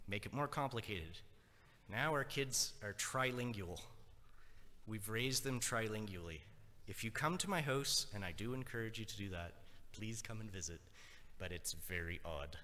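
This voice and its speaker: A man's voice: fairly low pitch, expressive, a little slow, pauses often, pushed and bright. A man from Nova Scotia whose first language is French, giving a chatty conference lecture and checking in with the audience. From Nova Scotia, Canada. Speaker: conference speaker. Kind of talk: conversational lecture. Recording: long reverb of a hall.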